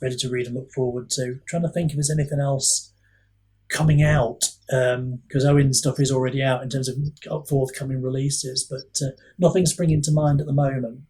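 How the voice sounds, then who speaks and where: Slightly husky man in casual chat. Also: medium pitch, level tone, normal speed, a little mellow, low-quality recording. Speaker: author and podcast guest.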